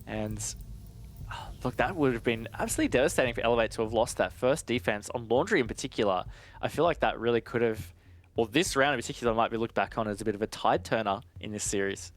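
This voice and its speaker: Measured male esports analyst, medium pitch. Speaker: esports match commentator. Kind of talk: analytical live esports commentary.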